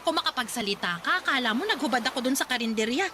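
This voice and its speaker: A vain, flirtatious Filipino mother in middle age. Her high voice swoops theatrically, drawling and teasing as in a radio drama, over a noisy track. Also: lively intonation, quick pace. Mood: brazen, defensive. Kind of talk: Tagalog radio drama acting.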